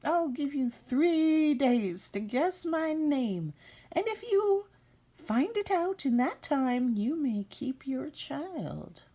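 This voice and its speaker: A tiny, grotesque manikin from a storybook, speaking far up in a high pitch. He is scheming and theatrical, with a sing-song taunt and a temper close under the glee. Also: very animated, slow, mellow, heavily acted. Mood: demanding.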